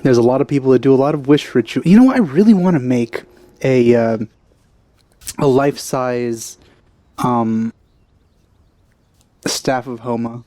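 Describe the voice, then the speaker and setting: A man's voice: mid-range voice, unhurried, pauses often, mellow, no echo. A man giving casual let's-play commentary in wry, musing asides. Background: game music.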